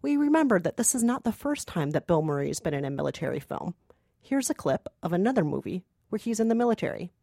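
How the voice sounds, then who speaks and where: A woman's voice: fairly low pitch, big pitch swings, fast talker, creaky, mellow, dry recording, studio quality. A woman co-hosting a film podcast in a casual, conversational style. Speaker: podcast co-host.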